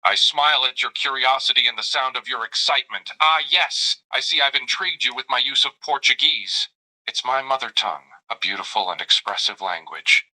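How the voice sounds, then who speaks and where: A man's voice: mid-range voice, brisk, sharp tone, rough recording. A synthetic male voice playing a doting, flirty AI companion who narrates his own gestures and calls the listener darling. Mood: tender. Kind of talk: chatbot roleplay reply.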